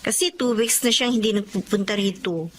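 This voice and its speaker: A woman's voice: mid-range voice, normal speed, slight background noise, natural voice. A woman with a Filipino accent playing a frank, prodding friend in a radio drama, with a coaxing manner. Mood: concerned, knowing.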